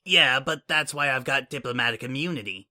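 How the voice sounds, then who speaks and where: A man's voice: mid-range voice, a little fast, pushed and bright. A man voicing a brash, smug female pony spy in a fan audiobook reading, speaking in a flippant, mocking way.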